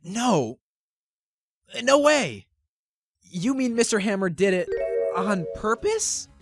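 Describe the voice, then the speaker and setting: A woman's voice: mid-range voice, big pitch swings, takes it slowly, music in the background. A woman voicing an earnest young male defense lawyer, hesitant and incredulous, in an amateur game fandub.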